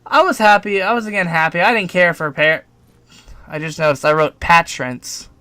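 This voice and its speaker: Young man, fairly high bright voice, casual storytime narration, self-deprecating, dry close sound. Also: moderate pace, dry recording. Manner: informal, swearing, self-deprecating asides. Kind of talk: casual personal storytelling, partly read from a script.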